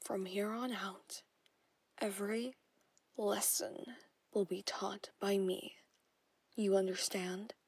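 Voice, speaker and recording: A woman's voice: medium pitch, flat delivery, slow, pauses often, darkish tone, dry recording. A woman in a whispered audio roleplay, playing a jealous, possessive stepmother who coaxes and controls. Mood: soothing, possessive. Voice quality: breathy whisper. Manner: intimate whispering, coaxing and controlling.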